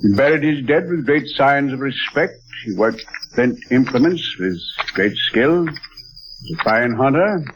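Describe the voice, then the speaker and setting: A man's voice: medium pitch, animated, lightly acted. A man playing a learned, lecturing doctor. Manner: lecturing, precise and measured. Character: learned older male doctor, scholarly and sure. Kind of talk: 1940s radio acting. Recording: lo-fi old radio broadcast, faint hiss.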